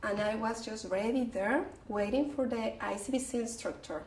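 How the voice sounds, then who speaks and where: A woman from Mexico tells a personal story in a chatty way, speaking directly to her listeners. Her voice is on the higher side. She sounds distant from the microphone, and the room adds a noticeable echo. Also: moderate pace, darkish tone, slight background noise. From Mexico City. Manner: chatty, addressing the audience directly. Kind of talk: personal story told to a group. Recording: roomy, distant from the mic.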